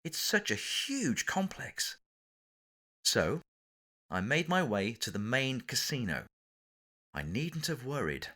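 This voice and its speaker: A man's voice: mid-range voice, big pitch swings, takes it slowly, pauses often, sharp tone. A man with an English accent reading his own memoir for an audiobook, telling the anecdote with theatrical, dramatic emphasis. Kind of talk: audiobook narration, scripted anecdote.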